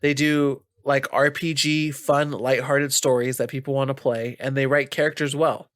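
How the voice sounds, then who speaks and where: Male podcast host, nasal, mid-pitched, casual gamer chat, dry recording. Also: little pitch movement, moderate pace, fairly bright tone, no echo.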